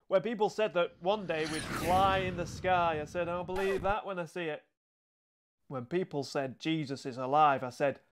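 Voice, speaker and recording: A man's voice: fairly high pitch, slight background noise, voice pushed up, natural voice. A man voicing a small, stern male sceptic in a children's audiobook, with a blunt, colloquial, emphatic manner. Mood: stubborn, sceptical. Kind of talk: children's audiobook character reading. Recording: music behind the voice.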